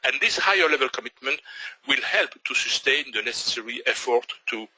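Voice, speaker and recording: An older man with a Belgian French accent speaks in a forceful, tense tone with a husky edge. He presents a webinar slowly and haltingly, still searching for words in English. The sound is dry and close, picked up by a computer microphone. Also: medium pitch, lively intonation, dry recording, low-quality recording. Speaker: trading instructor.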